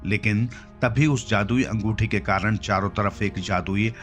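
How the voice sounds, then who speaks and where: A man with a fairly low voice tells a scripted children's fantasy tale. He is the narrator, and music plays clearly behind his words. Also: fairly even intonation, measured pace. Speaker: story narrator. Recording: clear music bed under the voice. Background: background music.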